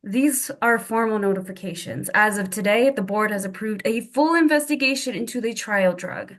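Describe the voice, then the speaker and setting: A woman acts out a cold woman official in a drama table read. Her medium-pitched voice is formal and pointed, with a confrontational edge. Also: normal speed, close to an everyday voice. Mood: formal, firm.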